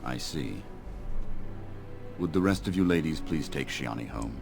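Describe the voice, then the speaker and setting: An actor playing a grave, calm old elven elder in a fantasy video game, measured and weary, with a gravelly voice. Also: male voice, very deep voice, over music, full character voice. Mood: grave concern.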